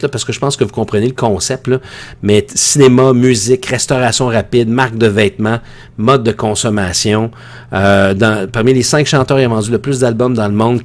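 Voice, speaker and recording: A man's voice: mid-range voice, a little mellow. A man with a Quebec French accent teaching an informal online lecture in a conversational, opinionated way that often digresses. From Quebec, Canada. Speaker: high school teacher.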